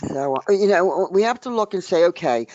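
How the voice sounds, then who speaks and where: A man in his sixties from the area near Washington D.C., speaking in a halting, emphatic way with many restarts during a casual group discussion. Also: sits high, expressive, darkish tone, slight background noise. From United States, near Washington D.C. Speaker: open mic speaker. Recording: dry, dull lo-fi recording.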